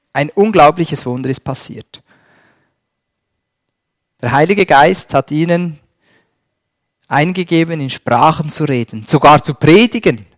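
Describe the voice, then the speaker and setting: Slow, emphatic preacher man. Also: fairly high pitch, no echo, studio quality. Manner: slow, emphatic, swings between loud stress and dropped voice. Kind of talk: sermon with Bible reading.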